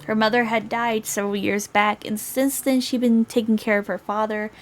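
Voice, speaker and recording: A woman voicing a reflective older man who narrates a visual novel in a measured, wistful way. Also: fairly high pitch, little pitch movement, a little hiss or hum, close to an everyday voice. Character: reflective older male lawyer, a wedded war veteran.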